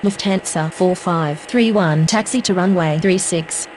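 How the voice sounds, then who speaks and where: A woman's voice: on the low side, measured pace, a little mellow, slight background noise, lightly acted, rough recording. A woman playing a businesslike airline radio voice, giving formal, clipped scripted phraseology. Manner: formal, procedural. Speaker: pilot and air traffic controller voices.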